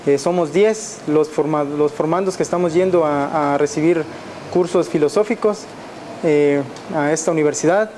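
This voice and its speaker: Young Mexican man, hesitant, mellow voice. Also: on the high side, normal speed, a little hiss or hum. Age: 20s. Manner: hesitant, many fillers and restarts. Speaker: seminarian. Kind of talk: personal testimony.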